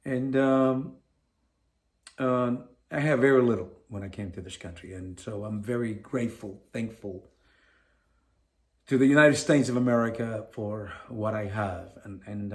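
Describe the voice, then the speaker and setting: Venezuelan guy with a spanish accent, kinda low voice, earnest, keeps restarting his sentences. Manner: earnest, halting with many restarts. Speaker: podcast co-host. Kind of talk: casual community podcast chat.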